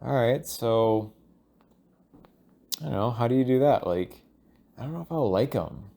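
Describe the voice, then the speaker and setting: Man rambling slowly, thinking aloud. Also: medium pitch, expressive, creaky, warm tone. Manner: halting, thinking aloud, loose and informal with profanity; acts out imaginary dialogue. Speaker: solo audio log host. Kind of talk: rambling casual audio monologue.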